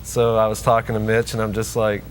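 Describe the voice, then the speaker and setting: A man's voice: fairly low pitch, monotone, brisk, a little hiss or hum, rough recording. A man from Hong Kong, a tour producer, chatting easily as an interview guest, joking and chuckling often. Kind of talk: relaxed conversational interview.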